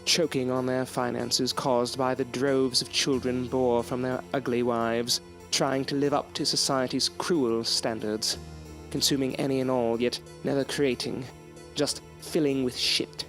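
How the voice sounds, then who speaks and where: A man's voice: medium pitch, flat delivery, measured pace, a bit bright, soft background music. An actor playing a proud, loyal male scientist in an audio drama, speaking in a formal, articulate, theatrical way. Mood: bitter, brooding. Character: proud, defiant, loyal male scientist, courteous but bitter. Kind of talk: period audio drama acting.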